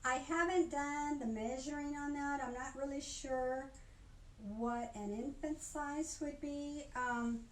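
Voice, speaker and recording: An older woman giving an informal craft demonstration in a chatty, halting way, often stopping to rephrase. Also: sits high. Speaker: craft instructor. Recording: roomy echo, faint background noise.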